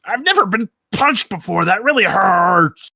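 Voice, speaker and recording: A hot-tempered Bigfoot, acted out by a man at a table read, big on threats and quick to whine like a hurt child. The voice is forceful and gravelly, rising into shouts in this comedy script, with a close, dry sound. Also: high voice, expressive, dry recording, pitched above the performer's own voice, heavily acted. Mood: hurt, whining. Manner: blustering, shouting threats and whining. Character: hot-tempered, childish, oafish male Bigfoot, easily wounded.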